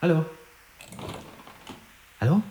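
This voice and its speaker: A man's voice: takes it slowly, warm tone, a little hiss or hum, close to an everyday voice. An actor playing a fearless, frank newcomer boy in a radio drama, talking directly and casually in short sentences. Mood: frank, curious. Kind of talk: naturalistic radio drama acting. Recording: roomy, echoing radio play scene.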